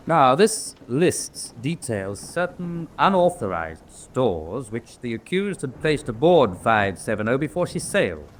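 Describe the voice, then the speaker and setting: Animated, scornful naval prosecutor. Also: male voice, medium pitch, a little hiss or hum. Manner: precise, oratorical, disapproving. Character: scornful military prosecutor, formal naval captain. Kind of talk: dramatic radio acting.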